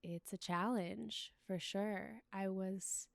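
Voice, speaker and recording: A young adult woman, a relationship coach, speaking as a guest in a calm, reflective, soft-spoken way. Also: medium pitch, slow, gravelly, dry recording. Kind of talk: interview conversation.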